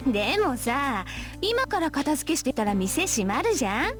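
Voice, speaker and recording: A woman's voice: high-pitched, big pitch swings, fast talker, soft background music. A woman playing an offhand, lazy teenage schoolgirl in a Japanese visual novel, casual and dismissive.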